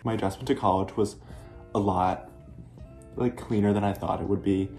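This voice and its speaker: Deep, flat-voiced young man. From North Carolina. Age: young adult. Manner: halting, many "like"s. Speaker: college freshman. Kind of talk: casual testimonial. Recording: roomy echo with faint music.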